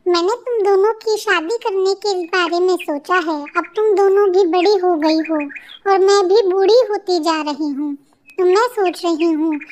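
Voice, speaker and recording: A woman's voice: very high voice, darkish tone, slight background noise. A woman voicing a caring, patient mother bird with a soothing, motherly manner in a cartoon story.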